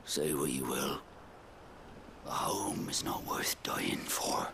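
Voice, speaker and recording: An actor playing a bitter, distrustful village man, speaking slowly with scornful accusation in a breathy, creaky voice. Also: extremely low pitch, lively intonation, whispered, heavily acted. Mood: bitter, accusing. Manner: slow, scornful, accusing delivery.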